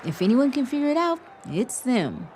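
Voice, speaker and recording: Woman playing a high-pitched, lively teenage girl. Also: moderate pace, noisy background. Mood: calm, reassuring. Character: loyal, excitable teenage high school girl. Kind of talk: audio drama acting.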